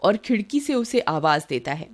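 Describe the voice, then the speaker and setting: A woman's voice: mid-range voice, very animated, moderate pace. A woman giving scripted narration of a story as its narrator.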